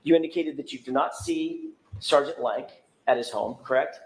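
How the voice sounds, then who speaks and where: A man's voice: mid-range voice, normal speed, darkish tone, low-quality recording. A man questioning a witness as an attorney in court, formal, precise and polite. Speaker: defense attorney cross-examining a witness.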